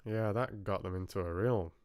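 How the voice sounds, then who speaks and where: Male book club host, smooth and fairly low, close dry sound, rambling chatty delivery with a joking streak. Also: normal speed, a little mellow, no room sound. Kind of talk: casual chat, musing on fairy tales with live chat.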